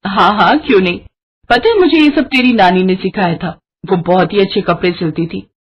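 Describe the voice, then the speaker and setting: A woman voicing a fond, teasing village mother in a cartoon moral story, affectionate and gently scolding. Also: medium pitch, moderate pace, slightly rough, highs cut off, lightly acted.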